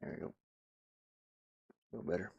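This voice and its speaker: A man giving casual, halting live commentary as he works on game art, muttering and thinking aloud. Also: a little mellow, dry recording, lo-fi sound. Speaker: streamer and hobby game designer.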